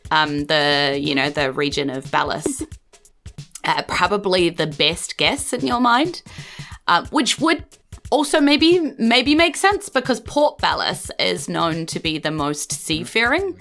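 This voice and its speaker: A woman's voice: fairly low pitch, very animated, measured pace, creaky, fairly bright tone, soft background music. A woman with a New Zealand accent improvising narration as the game master of a tabletop game.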